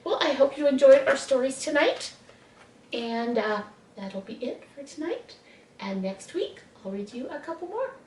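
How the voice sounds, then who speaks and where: A woman reading a children's bedtime story expressively, with dramatic pauses. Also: high voice, animated, a little mellow, slight background noise. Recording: echoey room, distant mic.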